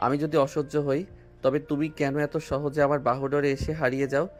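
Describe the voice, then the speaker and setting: A man's voice: mid-range voice, level tone, fairly quick, natural voice. A male voice actor playing a romantic young husband who teases his wife tenderly in an audio drama. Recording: music bed underneath.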